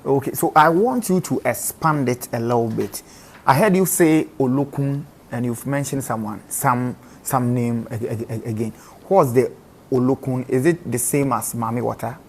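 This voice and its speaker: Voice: mid-range voice, normal speed, darkish tone, a little hiss or hum. A host interviewing a guest, who keeps answering "oh, okay" and restarts words with stammers. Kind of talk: casual interview with an on-air sponsor read.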